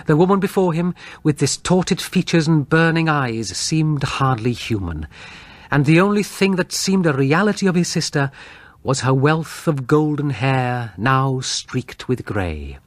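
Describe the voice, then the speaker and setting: A man narrating a horror audiobook in a dramatic, suspenseful, measured storytelling style with deliberate pauses. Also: on the high side, expressive, no room sound.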